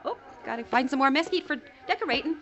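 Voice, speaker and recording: A performer playing an excitable, simple young ranch hand in a vintage radio western, folksy and eager. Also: sits high, fast talker, highs cut off. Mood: giddy. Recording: vintage radio recording, crowd noise.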